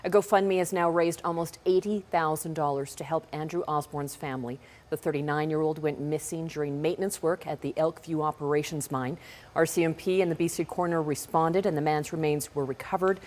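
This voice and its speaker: Casting: female news anchor, Canadian accent, low-pitched voice, scripted bulletin delivery. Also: fairly even intonation, fairly quick, darkish tone.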